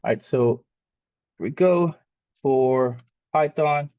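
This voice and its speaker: A man's voice: medium pitch, takes it slowly, dry recording. Mexican-born man teaching coding, dull sound. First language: Spanish. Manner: casual, rambling with many fillers. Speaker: coding instructor. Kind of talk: live coding lesson.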